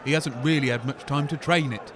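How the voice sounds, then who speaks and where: A man's voice: fairly high pitch, fairly quick, natural voice. A man playing an earnest, pedantic guest pundit in a radio comedy, speaking matter-of-factly. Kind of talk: comedic radio drama dialogue.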